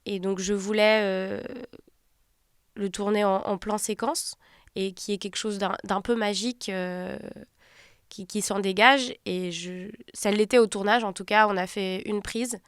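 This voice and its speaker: Smooth-voiced, reflective woman director. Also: medium pitch, fairly even intonation, no room sound, studio quality. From Turkey. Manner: reflective, halting, pauses to find words. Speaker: film director. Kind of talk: interview about her film.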